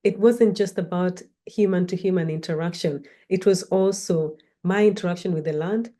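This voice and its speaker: Woman, slightly low pitch, warm dark tone, reflective storytelling talk, measured delivery. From Kenya. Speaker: academic researcher and guest speaker. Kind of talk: personal introductory talk, storytelling.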